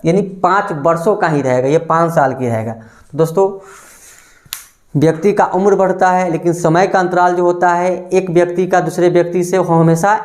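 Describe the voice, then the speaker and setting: A man's voice: high voice, fairly even intonation, normal speed, darkish tone. A man teaching a maths lesson for exam preparation. He calls his listeners friends, asks the class a question and then answers it himself, repeating the answer.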